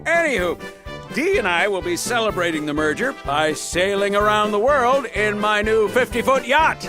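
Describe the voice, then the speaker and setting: An actor playing a jovial, oblivious, self-pleased company boss in a comedy audio drama, showy and breezy. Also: male voice, high voice, animated, moderate pace. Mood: breezy, smug. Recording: loud music behind voice. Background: oompah band playing.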